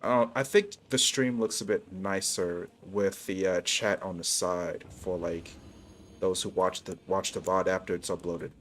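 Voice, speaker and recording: A man's voice: low voice, fairly even intonation, unhurried, a bit bright, a little hiss or hum. A young man talking casually to his viewers while livestreaming a video game. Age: young adult.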